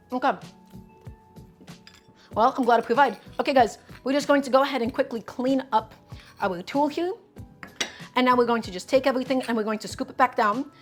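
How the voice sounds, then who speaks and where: Woman streamer, chatty cooking host, slightly high voice, soft music in the background. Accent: her own distinct accent, not Brooklyn. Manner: upbeat, talks chat through each step, says "guys" and "okay" often.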